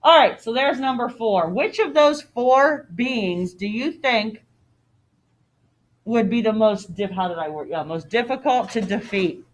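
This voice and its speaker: A woman's voice: on the high side, expressive, a little slow. A woman reading a story aloud as a teacher, expressively, with casual explanatory asides.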